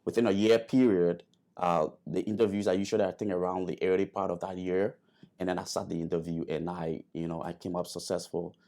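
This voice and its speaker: A man from Liberia telling his story in an interview, halting with many fillers and restarts. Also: low-pitched, level tone, warm tone. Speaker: interview guest, airman.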